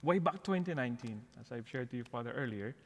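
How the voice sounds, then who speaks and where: A middle-aged man with a Filipino accent speaks as a guest in a church interview. His voice sits at a medium pitch, with the long reverb of a large hall around it. He talks in a conversational, storytelling way. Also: animated, quick pace, highs cut off. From Philippines. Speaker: guest, parish outreach coordinator. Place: church, before the altar.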